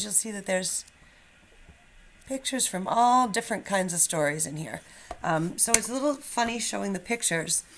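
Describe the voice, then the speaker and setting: A woman's voice: medium pitch, a little hiss or hum. Woman, middle-aged, teacher, informal, encouraging, storybook read-aloud, slightly nervous. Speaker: teacher reading aloud to young pupils. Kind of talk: casual chat and expressive children's storybook read-aloud.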